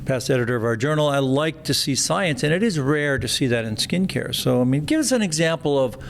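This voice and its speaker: Gravelly-voiced man hosting enthusiastically. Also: medium pitch, animated, slight background noise. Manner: enthusiastic, chatty, halting restarts. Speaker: podcast host, plastic surgeon. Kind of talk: podcast interview.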